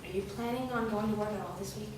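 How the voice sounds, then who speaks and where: A woman's voice: mid-range voice, fast, natural voice. A woman reading the part of a frustrated wife who scolds her lazy husband, pleading and exasperated, in a staged reading. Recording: echoey room, far mic, hiss.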